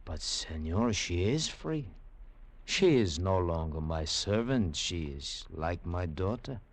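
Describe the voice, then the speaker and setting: Deep, courtly Latin gentleman. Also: animated, takes it slowly, heavily acted. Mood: protesting. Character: courteous, proud Latin American gentleman archaeologist, formal. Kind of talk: 1940s radio drama acting.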